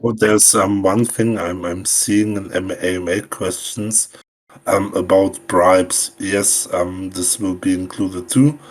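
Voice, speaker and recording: A man's voice: deep voice, steady tone, slow. A man from Kazakhstan, a guest from a crypto team, giving brief, hesitant answers in a casual chat.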